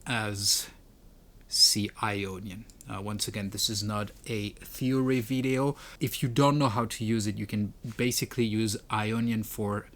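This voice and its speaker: A man's voice: fairly low pitch, fairly even intonation, a little slow, fairly bright tone. A man presenting a music gear tutorial in a casual, explanatory way. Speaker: presenter demonstrating a music pedal. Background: keyboard and synth demo notes.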